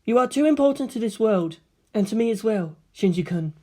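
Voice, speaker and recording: A woman voicing a solemn, soft-spoken young girl who gives calm commands in formal, measured phrases in a story reading. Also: on the high side, pitched above the performer's own voice. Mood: tender, formal.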